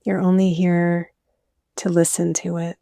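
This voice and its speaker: A woman leading a guided meditation, speaking gently and soothingly in scripted, softly inviting phrases. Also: on the low side, completely flat delivery, a little slow, gravelly, no room sound, studio quality.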